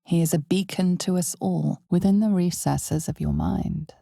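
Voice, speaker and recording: Female narrator for dark fantasy game: ominous, knowing, low and gravelly, measured dramatic delivery, close dry recording. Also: fairly even intonation, no echo, heavily acted. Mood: formal, storytelling. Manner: measured, dramatic delivery, often dropping to breathy near-whisper. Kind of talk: scripted second-person fantasy game narration.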